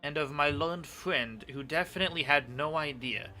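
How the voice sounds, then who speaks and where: Haughty Victorian prosecutor played by a man, crisp forceful tone, condescending and menacing, light music behind. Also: a little high, moderate pace. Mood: grave, accusing. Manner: condescending, formal. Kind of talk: video game fan dub.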